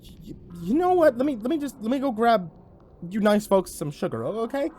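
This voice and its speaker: A man's voice: very high-pitched, big pitch swings, a little fast, darkish tone, a little hiss or hum, voice pushed up. A man voicing a terrified, pathetic horror story victim, stammering and pleading in a whine. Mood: nervous, placating. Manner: stammering, whining, begging.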